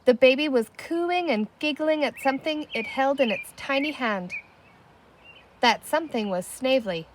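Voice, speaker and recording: Animated, high-voiced woman reading a story. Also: normal speed, a little hiss or hum. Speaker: storybook reader. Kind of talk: scripted children's story narration.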